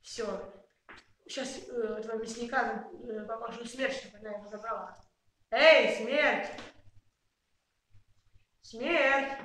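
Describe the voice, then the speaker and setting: A woman's voice: a little high, fairly even intonation, takes it slowly, long pauses, a bit bright. A woman voicing a bossy, exasperated father who grumbles and calls out orders in an amateur puppet play. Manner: calling out commands, grumbling. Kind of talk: amateur puppet theatre acting. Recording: very echoey room.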